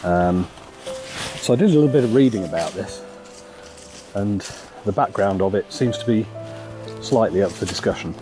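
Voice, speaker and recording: A lower-voiced man, croaky from a cold, chatting in a relaxed, halting way as he walks through a forest. Plenty of ums between his thoughts, and loud music plays under his voice. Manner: relaxed, hesitant, many ums. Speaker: photography vlogger. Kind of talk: casual walk-and-talk narration. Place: outdoors in a forest.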